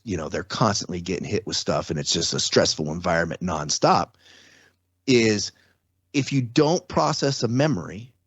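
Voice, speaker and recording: A man, talking things through in a relaxed, conversational way. He builds his explanations step by step with everyday examples. The sound is dry and a little dull, like a modest lo-fi setup. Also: fairly low pitch, slightly rough, dry recording. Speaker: podcast guest. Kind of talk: casual explanatory interview talk.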